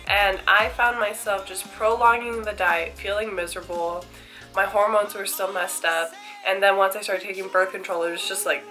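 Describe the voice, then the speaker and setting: A woman's voice: mid-range voice, pushed and bright. A young woman with a congested voice from a cold, chatting candidly to camera about her fitness routine. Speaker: fitness vlogger. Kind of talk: casual chat to camera.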